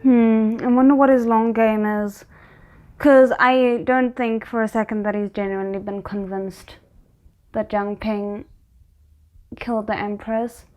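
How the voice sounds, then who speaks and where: A woman host reacts to a drama in a casual, expressive way. Her voice sits a little high, and she talks slowly, thinking aloud in fragments. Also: phone-quality sound.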